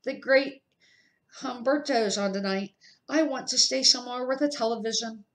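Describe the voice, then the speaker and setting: A woman's voice: a little high, normal speed, voice pushed up, natural voice. A woman voicing a sniveling, spoiled young boy in a storybook, whining and demanding.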